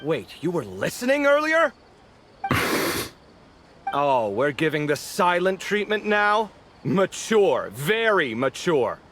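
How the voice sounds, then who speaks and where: Brief: chatty, sarcastic young man, lively and expressive, a bit high. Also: slow pace, pauses often, slight background noise, heavily acted. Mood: bickering. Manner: teasing, chatty, dry sarcasm. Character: friendly sarcastic young male architect. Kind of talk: game voice acting.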